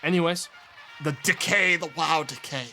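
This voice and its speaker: Hoarse, raspy young male streamer. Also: a little high, normal speed, bright, forceful tone, soft background music. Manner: rambling, offhand, trails into mumbles. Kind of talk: casual live-stream chat, thanking subscribers.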